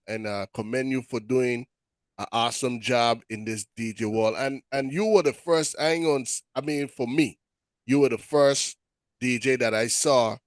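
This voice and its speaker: A man's voice: mid-range voice, no echo, studio quality. A man with a Virgin Islands accent hosting a casual interview, praising and prompting his guest in an easygoing way. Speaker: talk show host.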